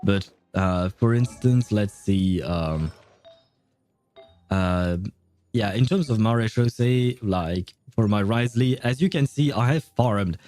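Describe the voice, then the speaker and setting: A man's voice: on the low side, takes it slowly, a little mellow, faint music behind. A man livestreaming a game, chatting casually and thinking aloud in a hesitant, chuckly way. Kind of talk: casual livestream chat and commentary.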